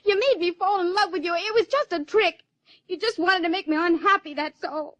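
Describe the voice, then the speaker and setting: A woman's voice: very high voice, brisk, a bit bright, no echo. A woman acting in a radio drama as a naive, spirited young country woman, with folksy rural speech. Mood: wounded, furious. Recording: dry, dull radio-drama recording.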